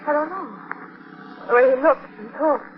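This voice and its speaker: A woman acts out an anxious, torn young wife. Her voice is very high, with an earnest and hesitant delivery. The recording is a dull vintage 1940s radio broadcast. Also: moderate pace, slight background noise, lightly acted. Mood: uneasy. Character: anxious, conflicted young wife in a love triangle. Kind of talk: 1940s radio drama acting.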